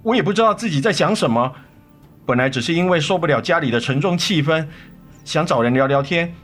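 A man's voice: a little high, expressive, brisk, a bit bright. A man acting a cheating husband and father in a radio drama, by turns defensive and pleading, with emotional, faltering delivery. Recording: studio radio drama with music underneath.

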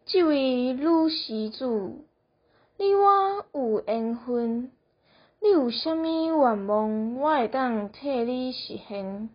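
Slow, solemn girl playing a merciful bodhisattva. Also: high-pitched. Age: teens. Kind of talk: amateur radio drama acting. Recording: muffled, roomy lo-fi recording.

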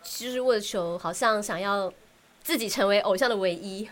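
A woman with a Taiwanese Mandarin accent chatting casually as a podcast co-host, animated and full of vivid examples. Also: mid-range voice, normal speed. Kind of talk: casual chat about fandom.

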